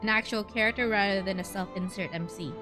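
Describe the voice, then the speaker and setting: A woman's voice: mid-range voice, fast talker. A woman streaming a game, chatting casually in a playful, informal way. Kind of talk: casual livestream gameplay chat. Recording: game music behind voice.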